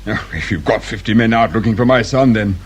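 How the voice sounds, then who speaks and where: A man's voice: fairly low pitch, a little fast, breathy edge. An actor playing an anxious, impatient, well-off father with a British accent in a radio drama. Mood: subdued, evasive. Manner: impatient, defensive. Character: irritable, anxious, well-off father of a missing boy.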